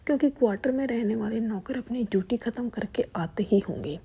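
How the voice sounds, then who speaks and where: A woman voicing a polite middle-aged male hotel manager in a low whisper. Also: brisk, dark tone, husky.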